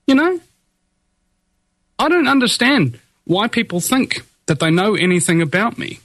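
A man's voice: sits high, sing-song, a little slow, a bit bright, dry recording. A man hosting his own show, ranting defiantly in a solo monologue full of fillers and restarts, with a somewhat whiny voice. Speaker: podcast host.

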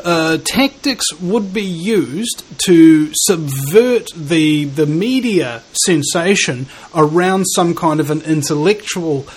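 A man with a New Zealand accent hosting a casual conversation, musing aloud and searching for his words. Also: fairly high pitch.

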